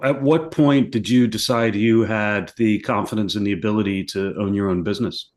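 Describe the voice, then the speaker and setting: A man's voice: on the low side, normal speed. A man hosting a podcast, interviewing his guest in a conversational style.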